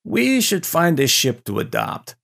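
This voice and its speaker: A man's voice: a little high, wildly swooping pitch, unhurried, vocal fry, fairly bright tone, heavily acted. A man voicing a laconic, wary transport pilot in an audio story, casual and matter-of-fact. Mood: wary, practical. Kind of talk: audio story character dialogue.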